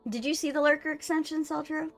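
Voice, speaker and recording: A woman's voice: high voice, steady tone, fast. A woman talking casually and teasingly as she hosts a gaming live stream. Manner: playful, teasing. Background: music.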